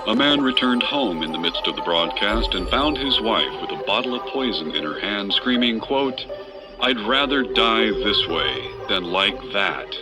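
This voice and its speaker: A man's voice: fairly low pitch, expressive, a little slow, over loud music, poor audio. A man playing a formal news reader relaying a grim report in a radio drama. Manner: formal, announces quotation marks.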